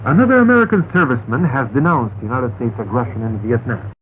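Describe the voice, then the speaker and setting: A man reads a formal scripted news bulletin as a radio newsreader, in a measured, carefully enunciated way. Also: medium pitch, lively intonation. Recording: noisy narrow-band shortwave radio recording.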